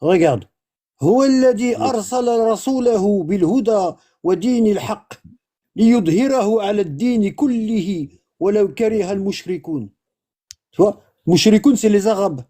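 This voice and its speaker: A man's voice: high voice, expressive, fairly quick, warm tone. A man giving informal religious teaching, explaining patiently, repeating himself and checking that he is understood. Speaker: religious instructor.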